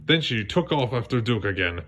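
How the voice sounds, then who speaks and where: A man narrating a children's read-along story in a lively storytelling manner. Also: medium pitch, fairly quick. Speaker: storybook reader.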